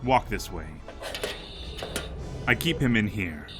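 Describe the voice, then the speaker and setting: A man's voice: fairly low pitch, lively intonation, slow pace, over music. An actor playing a scheming, pompous fictional man plotting revenge in an audio drama, with a grandiose, wordy, theatrical delivery. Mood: sly, expository.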